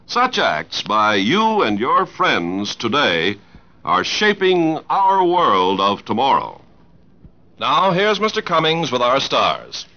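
A man's voice: medium pitch, wildly swooping pitch, takes it slowly, bright, forceful tone. A man reading formal, polished scripted announcements in 1950s radio style. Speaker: show announcer. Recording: dull vintage radio recording with music. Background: music.

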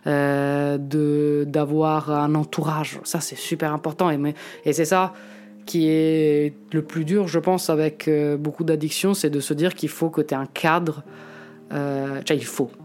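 A man in his late twenties from Italy, talking frankly and reflectively in an interview and often pausing to find his words. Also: fairly high pitch, level tone, a little mellow, faint music behind. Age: late 20s. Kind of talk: candid personal testimony.

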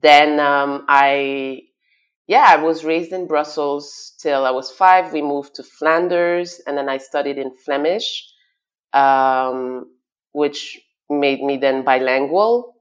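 Brief: woman guest, very deep voice, unhurried, hesitant with fillers. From Belgium. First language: French. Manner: hesitant, many fillers and restarts, laughs often. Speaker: guest, stylist. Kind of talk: conversational interview.

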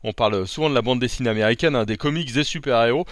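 A man's voice: mid-range voice, racing, no echo, clean studio sound. A man presenting a scripted podcast review segment, upbeat, with light asides and some hesitation. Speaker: podcast columnist.

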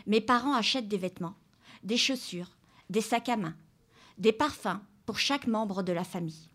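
Woman reader, even and steady, straightforward literary reading. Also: mid-range voice, moderate pace. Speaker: reader at a book club radio show. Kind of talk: reading a literary excerpt aloud. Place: public library.

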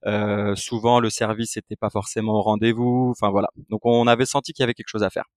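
A man's voice: on the low side, flat delivery, quick pace, dry recording, studio quality. A French man in his twenties, a company co-founder, chatting casually as a guest with lots of fillers and restarts. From France. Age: 20s. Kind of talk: casual business interview.

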